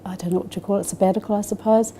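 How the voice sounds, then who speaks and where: Soft-toned New Zealand woman, hesitant. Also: medium pitch, steady tone, rapid-fire, slight background noise. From Scotland, raised in New Zealand. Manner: hesitant, many fillers and restarts. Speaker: interviewee. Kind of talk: personal testimony.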